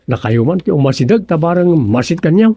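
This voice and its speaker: A man's voice: a little high, very animated, a little fast, dark tone, husky, no echo, heavily acted. An actor playing an earnest younger man in a comedic radio drama, explaining things insistently to his old father.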